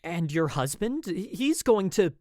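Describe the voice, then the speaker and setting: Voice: mid-range voice, big pitch swings, slow, a little mellow, dry recording, studio quality, pitched above the performer's own voice. A performer voicing a nervous, stammering traveling tonic seller in a western audio story. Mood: alarmed, flustered.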